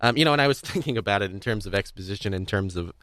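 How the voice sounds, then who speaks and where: A man's voice: fairly low pitch, animated, rapid-fire, fairly bright tone, no echo, studio quality. A man hosting a podcast in casual conversation, speaking in a hesitant, rambling, stop-start way. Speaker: podcast host. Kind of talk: casual discussion of audio drama.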